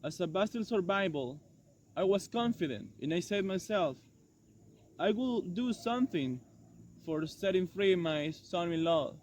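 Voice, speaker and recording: A man playing a regretful French doctor and former prisoner in an amateur dramatized monologue, speaking haltingly and correcting himself. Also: sits high, animated, darkish tone. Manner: halting, hesitant, self-correcting delivery. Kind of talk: amateur dramatized monologue retelling past events. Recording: dull lo-fi sound, faint noise.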